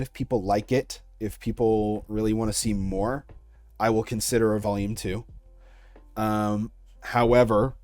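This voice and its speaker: Casting: young man, lowish voice, measured and casual online creator, closely dry recording with faint music. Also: a little mellow, no room sound. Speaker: creator being interviewed. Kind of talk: casual interview chat with YouTube-style sign-off.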